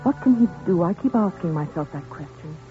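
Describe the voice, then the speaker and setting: A woman playing an earnest, tender and troubled woman with a husky voice in a 1940s radio suspense drama. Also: lowish voice, expressive, brisk, soft background music, close to an everyday voice. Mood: troubled, gentle. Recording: tinny, band-limited 1940s radio recording.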